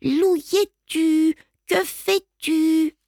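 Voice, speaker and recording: A small boy goat from a storybook, acted out by a woman reader, pitched very high and childish. He is whiny and demanding, with a lively, swooping delivery. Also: a little slow, dry recording, studio quality. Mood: boastful, singsong. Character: bratty, demanding, tearful little male goat kid.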